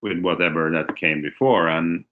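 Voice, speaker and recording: A man with a very deep voice speaks casually and a little haltingly, restarting his phrases. The recording sounds dull and lo-fi, missing its brightness. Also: slight background noise. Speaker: guest musician. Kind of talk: interview conversation.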